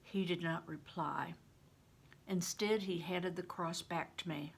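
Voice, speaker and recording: An older woman reading a storybook aloud as a grandmother would, deliberate and careful, narrating expressively. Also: fairly low pitch, steady tone, slightly rough. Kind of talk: expressive read-aloud narration with questions to listeners.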